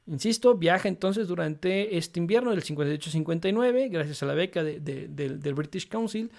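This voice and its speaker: Man, fairly high voice, relaxed unscripted explainer, many hesitations. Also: fairly quick, creaky. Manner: relaxed, frequent fillers "eh" and "este". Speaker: photography channel host.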